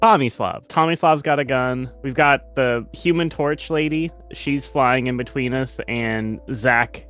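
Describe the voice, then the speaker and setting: A man's voice: medium pitch, a little slow, few pauses, a bit bright, faint music behind. A man in casual chat as a player at a tabletop game, joking and chuckling through his banter.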